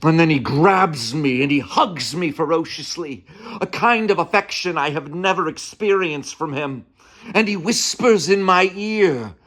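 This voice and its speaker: A man playing an earnest young nineteenth-century touring actor, telling his life in a measured, theatrical dramatic monologue. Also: on the high side, animated, fairly bright tone. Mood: resolute, moved. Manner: theatrical, measured, with weighty pauses. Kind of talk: dramatic first-person monologue.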